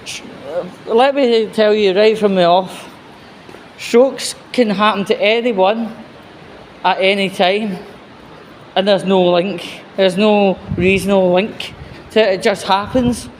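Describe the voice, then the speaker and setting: A man giving casual, opinionated news commentary in a rambling, halting, sweary way. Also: sits high, steady tone, a little hiss or hum. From United Kingdom. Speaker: host of his own commentary vlog.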